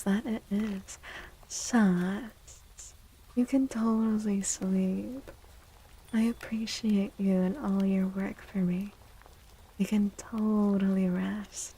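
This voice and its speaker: Soft breathy lady doing asmr stream chat, mellow and half whispering, takes her time, playful, close on the mic. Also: mid-range voice, rough recording. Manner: playful, unhurried, repeats phrases. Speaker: livestream host.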